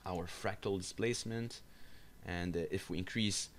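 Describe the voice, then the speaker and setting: A man giving an unscripted software screencast tutorial, explaining step by step in a halting, hesitant way. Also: low voice, steady tone, a little hiss or hum. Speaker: tutorial instructor. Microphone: voice-over for a screen recording.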